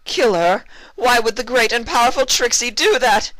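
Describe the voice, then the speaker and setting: A man voicing a boastful female unicorn prisoner, shrieking and pleading desperately in a shrill voice in a story reading. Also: expressive, normal speed, a bit bright, no room sound, rough recording. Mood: frantic, pleading. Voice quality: shrill, screechy. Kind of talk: dramatised fan fiction reading.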